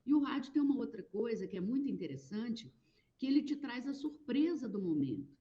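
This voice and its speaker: Mellow-voiced woman on a dull line. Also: fairly high pitch, sing-song. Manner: reflective, explaining with pauses and restarts. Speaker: radio programmer, guest. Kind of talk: conversational interview.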